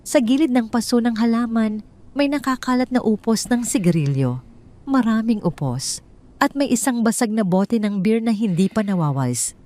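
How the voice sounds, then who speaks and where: A woman's voice: medium pitch, dark tone. A woman narrating a first-person audio drama in a tense, dramatic storytelling manner.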